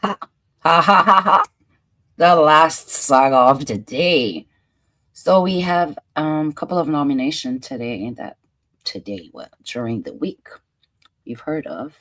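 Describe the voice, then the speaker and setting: A woman's voice: sits low, animated, a little slow, a bit bright. A woman with a French accent, hosting a casual commentary show and chatting playfully about news clips. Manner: chatty, playful, laughs and muses aloud. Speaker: host.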